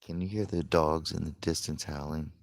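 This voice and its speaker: A man's voice: extremely low pitch, steady tone, moderate pace, gravelly, dry recording, clean studio sound. A man from Florida hosting a casual live chat outdoors, rambling and chuckling as he talks with viewers. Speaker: livestream host. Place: outdoors at night.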